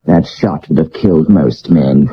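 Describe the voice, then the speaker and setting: Slow, mocking killer. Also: male voice, very deep voice, breathy edge, heavily acted. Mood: gloating, taunting. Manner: taunting, theatrical, slow and deliberate. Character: gloating, mocking male professional killer, theatrically calm. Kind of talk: period radio drama acting. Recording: muffled 1970s medium wave radio recording.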